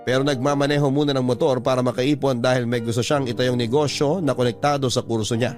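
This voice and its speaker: Casting: male storyteller, radio-program letter narration, confiding tone, soft music underneath. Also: medium pitch, steady tone, fast. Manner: intimate, confiding, reads a listener's letter aloud. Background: faint music.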